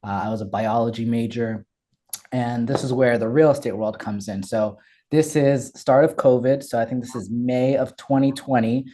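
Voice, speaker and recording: Young man from Ethiopia, mellow voice. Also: medium pitch, moderate pace, a little hiss or hum. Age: young adult. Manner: candid, energetic, frequent fillers and restarts. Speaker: guest. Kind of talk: casual interview storytelling.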